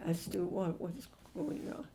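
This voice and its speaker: An elderly woman in her nineties with a heavily gravelly, creaky voice. She speaks very slowly, pausing and restarting as she answers questions from the audience. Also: lowish voice, animated, warm tone, slight background noise. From Hungary. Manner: slow, halting, with frequent restarts. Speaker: Holocaust survivor speaker.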